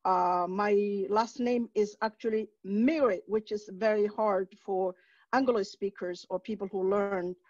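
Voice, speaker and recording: A woman's voice: medium pitch, steady tone, a little mellow, no room sound. Somali-accented woman lecturing over video call. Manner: halting, many fillers and restarts. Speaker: guest lecturer, professor. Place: home room, indoors. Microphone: headset. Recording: dull dry video-call audio.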